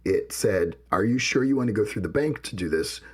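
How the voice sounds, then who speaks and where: A man co-hosting a podcast, with a gravelly voice, talking casually and telling personal stories. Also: fairly low pitch, lively intonation, brisk. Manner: personal, reflective, tells anecdotes. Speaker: podcast co-host. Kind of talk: casual conversation.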